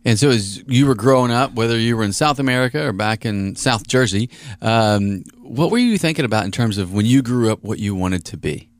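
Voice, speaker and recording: A man's voice: mid-range voice, brisk, hardly any pauses, no room sound. A man co-hosting an interview, asking a guest friendly questions about her background. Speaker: podcast co-host.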